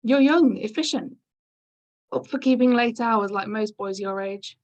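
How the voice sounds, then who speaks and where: A woman acts an abrupt, jaunty hotel manager in her fifties for a drama reading. The voice sits fairly high and brushes objections aside briskly and breezily. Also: a little mellow, highs cut off, lightly acted. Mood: brisk, breezy. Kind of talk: script table-read acting.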